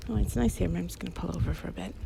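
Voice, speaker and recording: A woman with a slightly creaky, crackling edge to her voice talks in a loose, intimate ramble, like a girlfriend keeping someone company. Her phrases trail off and restart with a giggly ease. She is outdoors, with clear traffic and environmental noise around her. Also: on the low side, very fast. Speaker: audio roleplay creator playing a loving girlfriend. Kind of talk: casual rambling chat, intimate one-sided companion talk. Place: outdoors on a bike path. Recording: noisy outdoor ambience, passing vehicles.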